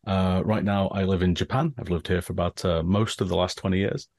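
Low-voiced English man explaining. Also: a little fast, dry recording. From northern England. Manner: relaxed, explanatory, with many fillers. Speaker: guest. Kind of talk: casual explaining.

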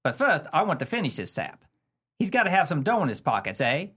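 An actor plays a cold-blooded pulp crook from a 1930s radio play. The voice is husky and swings freely in pitch. The talk is tough, slangy and scheming. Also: big pitch swings, fairly quick, a bit bright. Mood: scheming, bossy. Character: scheming gangster Skeeters, cold, cynical crook.

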